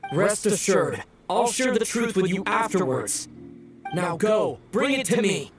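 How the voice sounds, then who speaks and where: A man's voice: high-pitched, big pitch swings, unhurried. An actor playing a sly, coaxing young man in a video game, speaking in an insistent, persuasive way. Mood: coaxing, impatient. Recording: echoey, distant, music underneath.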